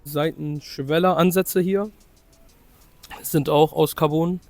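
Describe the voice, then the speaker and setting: A young man with a slightly hoarse voice from a cold, chatting loosely through a walkaround of his car and correcting himself as he goes. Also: on the high side, fairly even intonation, slow pace, darkish tone, no room sound, faint music behind. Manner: loose, chatty, full of fillers and self-corrections. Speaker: car vlogger showing his own car. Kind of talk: casual car walkaround vlog.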